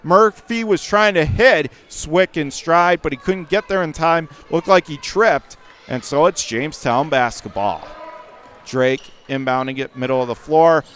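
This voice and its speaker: Higher-pitched man calling live basketball play-by-play. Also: unhurried. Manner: clipped present-tense phrases, rising to excited calls on action. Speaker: play-by-play sports commentator.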